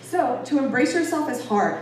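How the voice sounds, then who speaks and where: A woman's voice: sits high, animated, moderate pace, a little mellow. An American woman from Boston giving an informal public talk in an emphatic, conversational, playfully self-deprecating way. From Boston, United States. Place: echoey indoor venue with an audience. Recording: echoey room, distant mic, faint noise.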